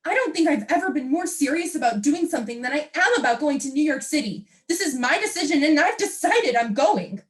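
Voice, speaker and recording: Young woman playing a high-voiced, defiant wife. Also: animated, a little fast, hardly any pauses. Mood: resolute, upset. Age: young adult. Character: defiant, wounded wife longing to sing. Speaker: student actor. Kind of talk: stage play dialogue.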